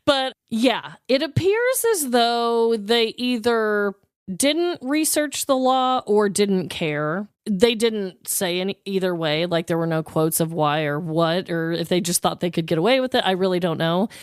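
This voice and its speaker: A woman co-hosting a podcast in casual, comedic chat, with a chatty, giggly, animated manner. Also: mid-range voice, a little slow, clean tone, no echo, clean studio sound. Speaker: podcast co-host.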